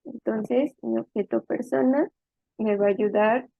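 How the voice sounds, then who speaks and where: A woman with a fairly high voice and a creaky edge teaches step by step, talking through each move informally as she works. The sound is narrow and phone-like, with very little room around it. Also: fairly even intonation, unhurried, no room sound. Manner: informal explanatory, thinking aloud. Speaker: programming instructor. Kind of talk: step-by-step tutorial narration while typing.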